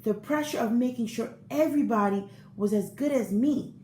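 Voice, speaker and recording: A woman voicing an earnest, eager-to-please good egg in an expressive, theatrical read-aloud of a children's picture book. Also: fairly high pitch, normal speed, natural voice. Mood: earnest, strained. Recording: roomy echo with faint background noise.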